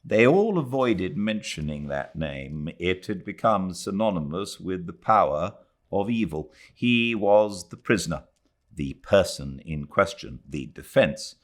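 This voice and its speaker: British-born guy reading a classic novel, low voice, slow and measured. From Britain. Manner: measured, even storytelling. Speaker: audiobook narrator. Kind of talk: literary audiobook narration.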